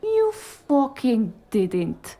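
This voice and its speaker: Actress for cyberpunk noir audio drama fixer: sharp woman, higher register, scolding, emphatic, streetwise. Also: wildly swooping pitch, drawn-out words, heavily acted. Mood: incredulous, exasperated.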